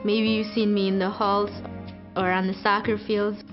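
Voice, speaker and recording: A teenage girl from Ethiopia, a student, narrating a scripted video in an earnest, measured way. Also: medium pitch, little pitch movement. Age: teens. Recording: muffled, over guitar music.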